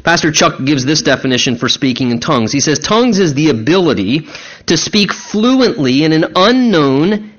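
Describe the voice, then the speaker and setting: A man's voice: fairly high pitch, a bit bright. A male pastor from the United States preaching a teaching sermon, asking his congregation questions as he explains scripture. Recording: muffled lo-fi sermon recording.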